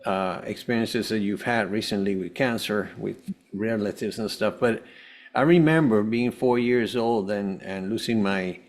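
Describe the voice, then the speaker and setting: A man with a fairly low voice speaks as an interview guest from Venezuela. He recounts personal experience haltingly, often doubling back and repeating words. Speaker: podcast guest, holistic health coach.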